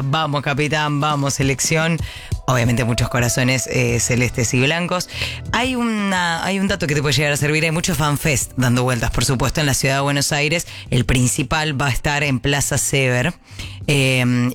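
A chatty Argentine man hosting morning radio, with a fairly high voice for a man. He reads the news and jokes with listeners, stretching words for emphasis. Soft music plays under him in the studio. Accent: Argentine Rioplatense. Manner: playful, chatty, drawn-out emphasis. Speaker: radio morning show host. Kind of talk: radio press review and chat. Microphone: studio microphone.

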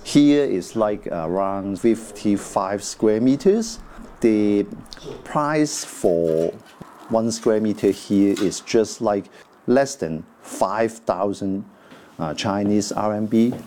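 A man's voice: on the low side, slow, mellow. A man in his forties from Hong Kong, a native Cantonese speaker, talking hesitantly with many fillers in an interview. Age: 40s. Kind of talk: casual interview.

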